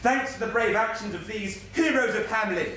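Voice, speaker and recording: A man's voice: high-pitched, fairly quick, a little hiss or hum. A man playing a pompous, clueless town mayor, speechifying in a stage pantomime. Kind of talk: stage pantomime comedy acting. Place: theatre stage, recorded from afar. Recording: echoey theatre, distant mic, loud music.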